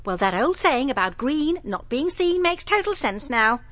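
A woman's voice: sits high, sing-song, moderate pace, fairly bright tone, no echo, full character voice. An actress playing a prickly, disdainful woman over thirty in an audio play, blunt and full of probing questions. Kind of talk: two-person audio play acting.

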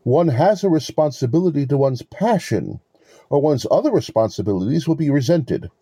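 A man acting in an audio play as a smug, pompous con artist, lecturing verbosely with mock courtesy in a husky voice. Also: fairly high pitch, lively intonation, moderate pace, dark tone, dry recording. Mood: smug, self-assured. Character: smug, verbose male con artist posing as police lieutenant.

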